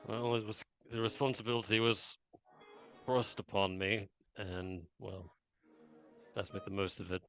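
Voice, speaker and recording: A man playing a tabletop role-playing game, improvising casually in a hesitant, halting way. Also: lowish voice, level tone, fairly bright tone. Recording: music playing under the voice. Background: background music.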